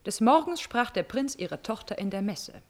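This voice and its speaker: A scorned countess in a classical radio tragedy. Her voice is fairly high, and she speaks theatrically, with biting irony, drawn-out repetitions and a passionate, vengeful temper. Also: lively intonation. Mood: cutting, ominous. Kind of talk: classical German stage tragedy as radio drama.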